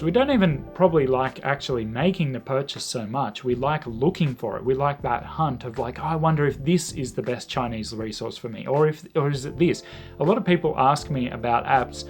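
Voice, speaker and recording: A man's voice: medium pitch, a little mellow. Reflective man musing about learning habits. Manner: reflective, self-deprecating, says "like" often. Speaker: language learning vlogger. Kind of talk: casual to-camera talk.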